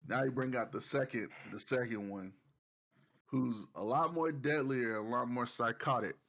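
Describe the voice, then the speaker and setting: A man's voice: medium pitch, moderate pace, fairly bright tone. A man co-hosting a casual podcast, telling stories in a joking, slangy and profane way. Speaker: podcast co-host. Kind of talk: casual banter.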